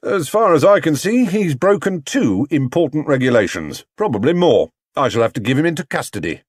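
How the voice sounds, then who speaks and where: A man's voice: fairly high pitch, sing-song, a little fast, no echo, studio quality, pitched above the performer's own voice. A man voicing a stern, officious railway inspector in a storybook, pompous and official in manner. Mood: ominous, official.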